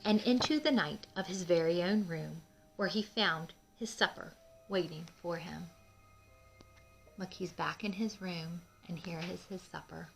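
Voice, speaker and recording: Lady doing a kids book read aloud, slow and clear simple talk, expressive, bit of music behind. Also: lowish voice, rough recording. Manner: asks young listeners questions, explains simply; emphatic, expressive reading. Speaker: children's read-aloud host. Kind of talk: picture book storytelling.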